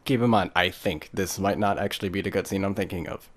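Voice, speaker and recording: A man's voice: sits low, steady tone, fast talker, background noise. A man giving casual, off-the-cuff commentary as the host of a gaming video. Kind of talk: casual let's play commentary.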